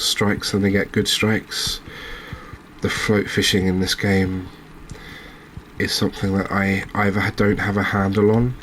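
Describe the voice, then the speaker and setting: A man giving gentle, unhurried ASMR commentary over a cozy fishing game, with hesitant, understated phrasing. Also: low-pitched, a little hiss or hum, rough recording. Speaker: host, video game streamer.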